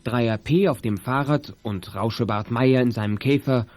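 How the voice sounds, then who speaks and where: An actor as the narrator of a suspenseful German youth detective radio play, reading scripted narration. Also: male voice, medium pitch, moderate pace, darkish tone, lightly acted. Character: male storyteller of a suspenseful youth detective tale. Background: music.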